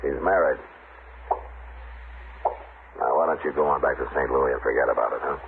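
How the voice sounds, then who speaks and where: An American man playing a steady, gruff, patient frontier marshal in an old radio western, speaking in a halting, laconic way. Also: very deep voice. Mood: firm, guarded. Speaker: radio drama actor. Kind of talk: old-time radio western acting and narration. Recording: muffled, noisy old radio recording.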